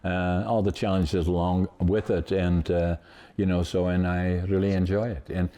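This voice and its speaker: A man's voice: deep voice, little pitch movement, moderate pace, mellow, dull audio. A man in his eighties from the Netherlands with a Dutch accent, hosting a conversational interview and telling stories in a rambling, emphatic, positive way. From Netherlands, northeastern Groningen province. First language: Dutch dialect of Groningen. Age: 80s. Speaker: podcast host.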